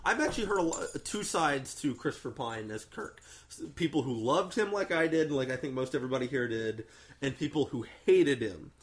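A man's voice: mid-range voice, lively intonation, a little fast. A man hosting a casual, profane film discussion, telling things with enthusiastic emphasis. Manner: enthusiastic, emphatic storytelling with acted-out quotes. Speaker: host of a film review discussion. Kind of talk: casual, profane fan chat.

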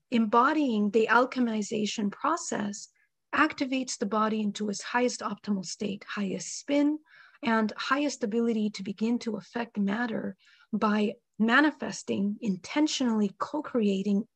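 A woman, hoarse from a cold, speaking slowly and deliberately with many pauses in a spiritual panel discussion. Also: fairly high pitch, no echo. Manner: slow, measured, many ums. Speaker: panel guest.

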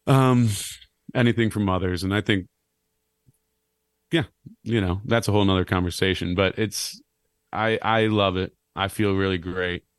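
Guy in his thirties, low raspy voice, rambling casual podcast chat, dry close sound. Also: dry recording. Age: 30s. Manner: rambling, self-deprecating, animated when joking. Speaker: clown and musician guest.

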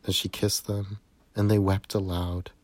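A man reading a scripture narrative aloud in a measured way, recorded indoors in a church sanctuary. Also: low voice, little pitch movement, a little mellow, no room sound. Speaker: scripture reader.

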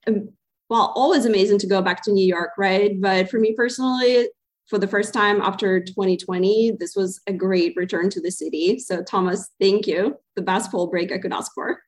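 A woman in her thirties from Ukraine, giving measured answers as a podcast guest with some hesitations. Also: medium pitch, steady tone. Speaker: guest, club co-president. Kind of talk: interview answers.

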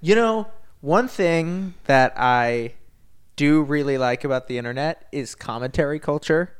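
A man co-hosting a podcast, telling stories in casual, enthusiastic chat and repeating words when excited. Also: a little high, unhurried, smooth. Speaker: podcast co-host.

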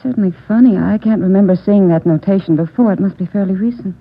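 Polite, slightly hesitant nurse, tinny old radio sound. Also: female voice, mid-range voice, quick pace, slightly rough. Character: polite young woman doctor's nurse. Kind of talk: 1950s radio drama acting.

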